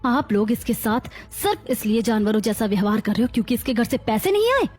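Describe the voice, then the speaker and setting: A woman playing a proud, protective elder sister-in-law who scolds emphatically in a melodramatic animated family story. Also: a little high, fairly quick, faint music behind. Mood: indignant.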